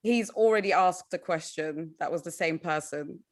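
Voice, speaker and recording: A woman's voice: lowish voice, moderate pace. A woman hosting an online award ceremony as MC, upbeat and encouraging, speaking over a video call. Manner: upbeat, encouraging, addresses audience as guys. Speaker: host and MC.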